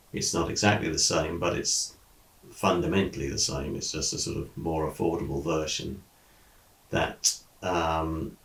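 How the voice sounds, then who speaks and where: A man presenting a product review off the cuff, explaining technical detail in a measured way with pauses mid-sentence. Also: rumbling low, vocal fry. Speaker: audio equipment reviewer. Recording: echoey room.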